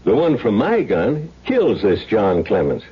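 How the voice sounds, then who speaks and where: A small-town sheriff, speaking in a low, rough-edged voice. He is folksy, deliberate and fatherly, weighing each point like an old family friend. It sounds like a dull, lo-fi 1970s radio drama broadcast. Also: wildly swooping pitch, full character voice. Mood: measured, reasoning. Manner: folksy, deliberate, reasoning aloud.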